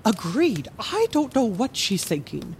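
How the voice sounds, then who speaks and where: A woman playing an earnest, anxious, protective young medic in a fantasy audio drama, halting and confiding. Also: medium pitch, very animated, a little hiss or hum. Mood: confiding, concerned. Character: earnest, anxious, protective councilwoman's child, a physician.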